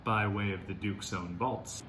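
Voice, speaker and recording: Man playing a low-voiced, sceptical shopkeeper. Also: steady tone, measured pace, fairly bright tone, a little hiss or hum. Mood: wary, stern. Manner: dry, sceptical. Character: wary, gruffly practical male fantasy shopkeeper fencing stolen goods. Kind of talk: dramatic audio fiction acting.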